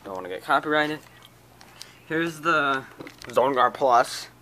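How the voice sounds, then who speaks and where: A casual amateur host with a mid-pitched voice talks through items in a home show-and-tell. The voice is caught on a handheld camera in a small room, with clear noise around it. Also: lively intonation, slow pace. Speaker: amateur video host showing a radio collection. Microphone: handheld camera close to the speaker.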